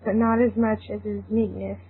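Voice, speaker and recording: A woman's voice: mid-range voice, level tone, normal speed. A woman narrating a story aloud as part of an informal group reading. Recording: tinny narrow phone-like line, hissy noise.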